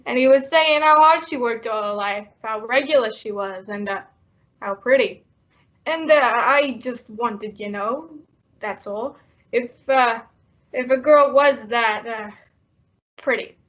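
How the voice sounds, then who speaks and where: Woman doing a high-pitched, bashful male sidekick. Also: measured pace, a bit bright. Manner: hesitant, slangy, deferential. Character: simple, loyal, bashful tough-guy male sidekick. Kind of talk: old-time radio drama acting. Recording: dull, muffled lo-fi audio.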